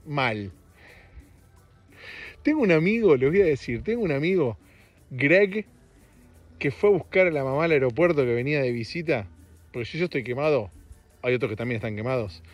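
Casting: Argentine male podcast host, middle-aged, fairly high slightly raspy voice, loose joking monologue, faint music. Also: big pitch swings, normal speed, long pauses, dull audio. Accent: Argentine Spanish. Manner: self-deprecating, joking. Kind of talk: casual rambling solo podcast monologue. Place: outdoors on a street.

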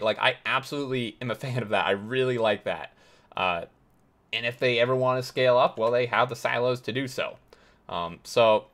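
A man hosting a casual game tour, talking with warm enthusiasm and plenty of fillers, his voice getting hoarse. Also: medium pitch. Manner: enthusiastic, rambling with many fillers. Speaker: gaming video host.

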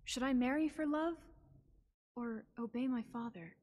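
A woman playing an earnest, lovestruck young woman in an animated fairy tale. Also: a little high, normal speed, faint music behind, close to an everyday voice. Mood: earnest, anxious. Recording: long hall reverb.

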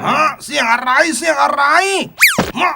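High-voiced bumbling cartoon henchman. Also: steady tone, measured pace, creaky, heavy background noise, full character voice. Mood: panicked. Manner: blustering, flustered. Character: bumbling, grumbling fat henchman of animal thieves. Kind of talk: children's cartoon comic villain acting.

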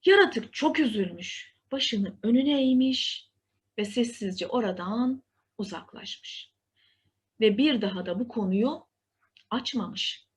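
A woman storyteller reading a scripted fairy tale for children in an expressive, deliberate way. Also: on the high side.

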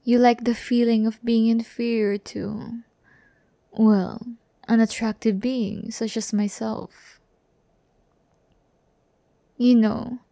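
Slow, soft-toned teasing demon girl played by a woman. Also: a little high, no echo. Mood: amused, curious. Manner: breathy, unhurried, teasing, often dropping to a whisper. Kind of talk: intimate ASMR audio roleplay. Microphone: close-up microphone.

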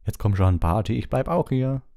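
An exuberant, jolly official from a storybook, acted by a male narrator, with a voice lifted very high. He sounds eager, chummy and boyishly excited. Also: moderate pace, vocal fry.

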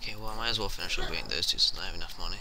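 Male gamer, low pitch with heavy fry, casual muttered play-by-play, slight background noise. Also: very fast. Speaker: gamer commentating on gameplay. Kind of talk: casual gameplay commentary. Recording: home recording with faint noise.

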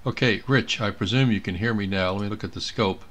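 A man's voice: fairly low pitch, brisk, a bit bright. A man giving a casual screen tutorial as an amateur radio operator, thinking aloud as he works. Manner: thinking aloud while working. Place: indoors at a computer.

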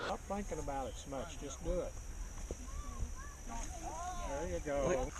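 A man's voice: on the high side, measured pace, crowd noise. A man giving casual, plain-spoken instructions to a child, picked up off-mic outdoors. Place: outdoors by a pond. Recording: noisy outdoor camera audio, off-mic.

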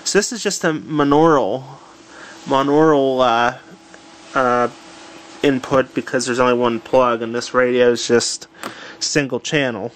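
A man casually demonstrating a home electronics project, unhurried and thinking aloud with fillers, filmed on a handheld camera in a room at home. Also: medium pitch, fairly bright tone, a little hiss or hum, low-quality recording. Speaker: tutorial presenter. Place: home room with old radio.

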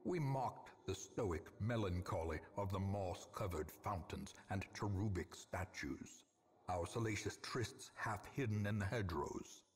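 Very deep, brooding old nobleman. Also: measured pace, a little hiss or hum. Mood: brooding regret. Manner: grave, ornate, theatrical. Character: brooding, decadent old nobleman narrating his ruin. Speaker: game narrator. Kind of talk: gothic scripted narration.

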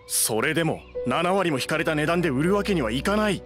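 A man's voice: a little high, animated, a little fast, over music, close to an everyday voice. An actor playing a proud, fretful, down-on-his-luck bookish man with weary, old-fashioned speech in an anime game. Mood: flustered. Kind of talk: Japanese anime game dubbing.

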